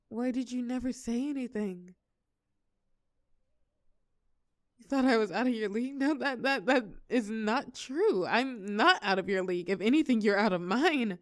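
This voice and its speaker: A woman's voice: medium pitch, vocal fry, dry recording, clean studio sound. A woman playing a shy, sweet, geeky young woman in an intimate romantic audio roleplay, speaking hesitantly and earnestly. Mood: stunned, hesitant.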